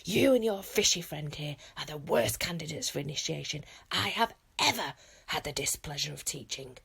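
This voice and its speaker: A woman voicing a loud, gruff male Viking teacher who scolds his pupils. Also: deep voice, animated, normal speed, exaggerated voice. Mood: scolding. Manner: scolding, shouting.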